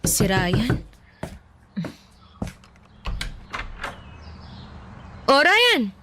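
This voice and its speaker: A woman's voice: on the high side, very animated, takes it slowly, bright, forceful tone, heavily acted. An actress playing a touchy young tomboy turned girly in a radio drama, pouty and fishing for approval. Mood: playful, exasperated.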